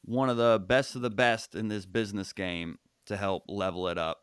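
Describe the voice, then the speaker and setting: A man with a smooth, resonant voice at an ordinary pitch hosts a comedy podcast. He builds up his guest with eager, show-style introductions, recorded close and dry. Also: fairly even intonation, normal speed, a bit bright, dry recording. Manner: enthusiastic, hype-building introductions. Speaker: podcast host. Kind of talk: casual podcast chat.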